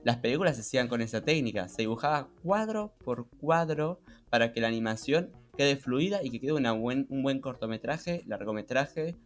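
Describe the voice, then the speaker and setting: A man's voice: medium pitch, lively intonation, normal speed, few pauses. Male co-host for a chatty podcast, Rioplatense Spanish accent, mid-range voice, conversational delivery, light background music. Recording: music behind.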